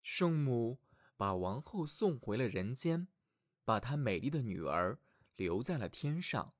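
Animated male fairy-tale storyteller. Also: medium pitch, unhurried, no echo, studio quality. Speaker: children's storyteller, show host. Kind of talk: expressive scripted fairy-tale narration.

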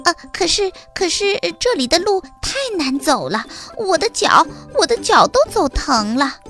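A woman voicing a friendly, excitable city mouse in a children's story, hesitating and repeating words eagerly. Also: extremely high pitch, moderate pace, faint music behind, voice pushed up, heavily acted. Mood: weary, complaining.

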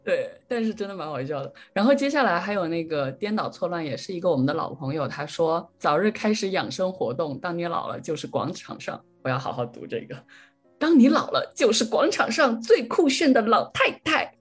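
A woman's voice: fairly low pitch, very animated, brisk, slightly rough, faint music behind. A woman co-hosting a podcast, chatting casually and reading out listener messages in a chatty, easily amused way. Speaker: podcast co-host.

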